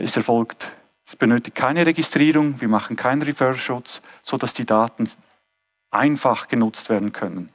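A middle-aged man with a Swiss German accent gives a conference keynote from a stage lectern, earnest and often pausing to rephrase. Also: medium pitch. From Switzerland. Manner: earnest, with frequent hesitations and restarts. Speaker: keynote speaker.

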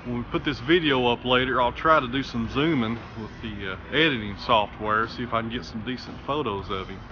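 A man's voice: mid-range voice, fairly quick, muffled recording, noisy background. A man in his thirties, a woodworker vlogging in a plainspoken, chatty way, first outdoors in the woods and then in his small shop, recorded on the camera's mic. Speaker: woodworker and channel host. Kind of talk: casual workshop vlog.

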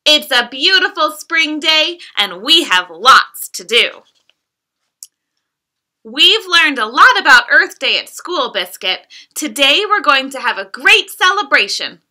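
A woman reading the lines of a cheerful, caring little girl in a gentle, sing-song storybook way. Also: high-pitched, sing-song, slow pace, full character voice.

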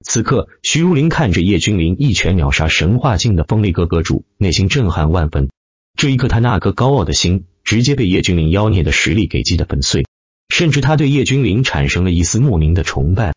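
A man narrates fantasy fiction in a low, animated voice. His delivery is emphatic and theatrical, with a rough, husky edge. The slightly lo-fi recording sounds muffled. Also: a little fast, dry recording. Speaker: audiobook narrator. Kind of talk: dramatic scripted narration of a fantasy web novel.

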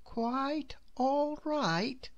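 A timid, kindly little cartoon fellow from a storybook, acted out by a woman. The voice sits high and moves slowly, with a nervous, hesitant stammer. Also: lively intonation, highs cut off, heavily acted. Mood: wondering, hushed.

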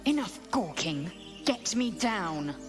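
A woman's voice: fairly low pitch, very animated, takes it slowly, music in the background. A woman playing a haughty alien warrior in a fantasy game, imperious and clipped in manner. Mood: stern, imperious. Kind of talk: cinematic fantasy game acting.

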